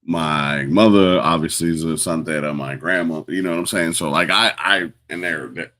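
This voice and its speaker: A man with an extremely deep voice talks in a loose, casual way, full of fillers and the odd curse. He grew up in New York after being born in Puerto Rico. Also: normal speed, sharp tone. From born in Puerto Rico, raised in New York. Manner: loose and profane, with many fillers. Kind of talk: casual conversation.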